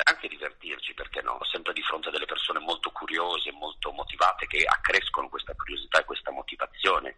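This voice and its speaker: Very deep, husky male interviewee. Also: pushed and bright, rough recording. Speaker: theatre trainer and actor. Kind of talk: interview answers.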